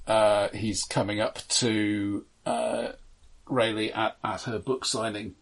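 A man with a lowish voice, speaking as co-host of a film podcast. His delivery is casual but measured, halting now and then as he lays out each detail. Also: level tone, gravelly.

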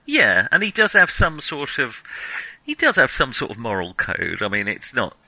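Bright-voiced man in reflective film talk. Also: medium pitch, wildly swooping pitch, moderate pace, dry recording, poor audio. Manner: hesitant, reflective, thinking aloud. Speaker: podcast host. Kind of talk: conversational film discussion.

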